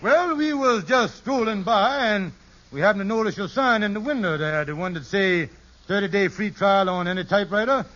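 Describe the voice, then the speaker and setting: An actor in an old radio sitcom playing a wily, henpecked schemer who argues smoothly in folksy, ungrammatical talk. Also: male voice, high-pitched, normal speed, fairly bright tone. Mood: wily, insistent. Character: wily, fast-talking male schemer and henpecked husband. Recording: dull, noisy vintage radio recording.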